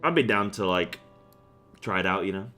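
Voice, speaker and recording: A man's voice: deep voice, expressive, moderate pace, bright, forceful tone. A young man chatting casually with viewers on a game stream, rambling with plenty of fillers and getting fired up as he rants. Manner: rambling, many fillers, gets worked up when ranting. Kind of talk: casual live-stream chat. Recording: faint music behind.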